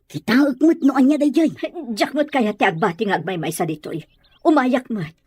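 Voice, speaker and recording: A woman's voice: a little high, lively intonation, normal speed, a little mellow, husky, no echo. An actress playing an anxious, protective village mother in an audio drama, speaking with worried urgency. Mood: worried, urgent.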